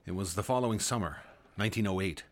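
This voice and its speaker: Radio-drama narrator-lead, a man: earnest young student, low and steady. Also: a little hiss or hum, lightly acted. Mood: reflective. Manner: earnest, measured storytelling.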